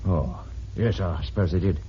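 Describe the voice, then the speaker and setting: A man's voice: deep voice, moderate pace, natural voice. An actor playing an evasive, defensive male murder suspect in a vintage radio drama. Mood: nervous, evasive. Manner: hesitant, stammering, defensive. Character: evasive, nervous male murder suspect who later confesses. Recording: muffled, noisy vintage radio recording.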